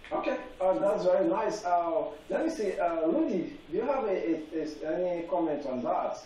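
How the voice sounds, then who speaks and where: A young man hosting a televised panel discussion, halting with many fillers. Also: sits high, expressive, mellow. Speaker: TV panel anchor. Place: TV studio set. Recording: echoey room, faint noise, far from mic.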